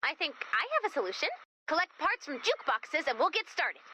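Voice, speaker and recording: A woman playing a perky, excitable robot who gushes like a nerdy music superfan in a video game. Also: high voice, a little fast, dry recording, full character voice. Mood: playful. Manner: gushing, quippy, theatrical. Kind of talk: video game character dialogue.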